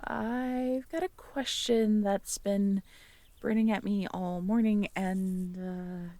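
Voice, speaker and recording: A young woman in an ASMR audio roleplay, talking to the listener as a girlfriend, playful and teasing one moment and soft and caring the next. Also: mid-range voice, steady tone, slow pace, no room sound.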